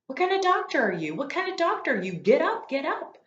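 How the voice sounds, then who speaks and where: A woman voicing an angry, bossy female nurse in a storybook, yelling in a loud, scolding, insistent way. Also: sits high, very animated, brisk, voice pushed up. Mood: furious yelling. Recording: echoey room, slightly dull lo-fi sound.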